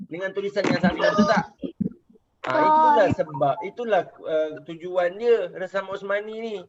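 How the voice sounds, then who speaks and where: Malaysian guy teaching kids online, fairly high voice, keeps asking if they get it, muffled call audio. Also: expressive, normal speed. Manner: patient, repeats questions, checks understanding. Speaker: religious teacher. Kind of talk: online class teaching children. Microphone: video call.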